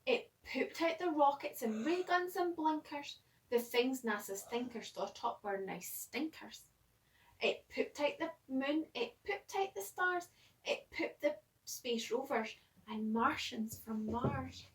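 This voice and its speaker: A woman reading a children's picture book aloud like a teacher, expressively, with dramatic pauses and emphasis. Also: sits high, expressive, distant mic, a little hiss or hum. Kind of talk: animated children's storybook read-aloud.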